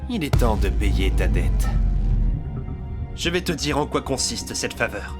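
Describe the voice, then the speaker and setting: A man's voice: mid-range voice, very animated, a little slow. A man playing a cocky, scheming young gamer brother in an anime fan dub, theatrical and given to melodrama. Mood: smug, scheming. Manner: theatrical, swinging from smug to frantic. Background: background music.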